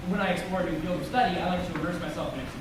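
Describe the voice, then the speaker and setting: A man's voice: sits high, very fast, close to an everyday voice. A man playing an earnest, literal-minded teenage boy in a stage play, explaining things pedantically and in great detail, with stumbles. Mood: earnest, pedantic. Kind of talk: amateur one-act stage play acting. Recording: echoey distant stage recording, noisy.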